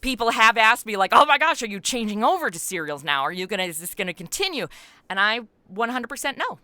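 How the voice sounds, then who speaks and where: A woman with a bright, forceful voice and very clean tone talks as a guest in an interview. She explains things in an expansive, animated storytelling style, and the sound is dry and close. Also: on the high side, quick pace, dry recording. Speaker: podcast creator and writer, interview guest. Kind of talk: conversational interview answer.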